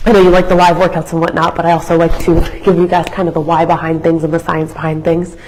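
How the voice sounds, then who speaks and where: A young woman fitness instructor with a raspy, hoarse voice from being sick, chatting through a live workout class in an educational way with many fillers. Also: lowish voice, flat delivery, fast, darkish tone, slight background noise, poor audio. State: hoarse from illness.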